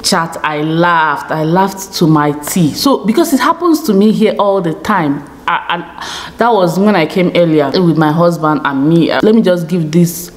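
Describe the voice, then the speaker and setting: A woman's voice: on the low side, normal speed. A Nigerian woman in her thirties chatting to camera in a small home studio, often checking in with "okay?". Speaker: YouTube vlogger. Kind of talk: casual chat to camera.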